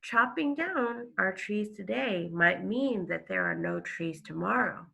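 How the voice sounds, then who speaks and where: A woman teacher with a bright voice reads aloud to young children at an unhurried pace. She is expressive and pauses to explain and put questions to her listeners. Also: mid-range voice, gravelly, breathy edge. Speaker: elementary school teacher.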